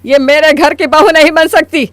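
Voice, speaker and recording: A woman's voice: sits high, steady tone, normal speed, dry recording, natural voice. A woman playing a stubborn, scheming middle-aged mother-in-law in a Hindi cartoon story, with a theatrical, scolding delivery. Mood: angry, stubborn.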